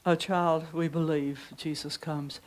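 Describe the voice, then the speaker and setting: Older lady pastor, deep lowish voice, chatty workshop talk to a room of folks. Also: level tone. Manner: chatty and warm, reads hymn lyrics slowly and softly. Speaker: pastor teaching preachers. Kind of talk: informal preaching workshop talk. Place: conference room with seated audience.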